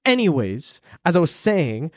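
A man's voice: on the high side, big pitch swings, a little slow, no echo, studio quality. A young man from Ukraine co-hosting a podcast, rambling in casual chat with plenty of profanity. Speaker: podcast co-host.

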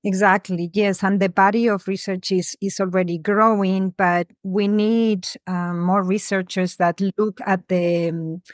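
A woman from Spain, a researcher answering interview questions in a measured, thoughtful way, pausing often. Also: mid-range voice, level tone, dry recording, clean studio sound. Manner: measured, thoughtful, frequent "um" pauses. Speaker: guest, education researcher.

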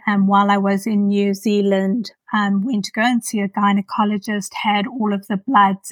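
A woman in her fifties with a South African accent, a podcast guest talking thoughtfully with many pauses. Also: mid-range voice, no echo, far from the mic, rough recording. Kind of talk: casual interview.

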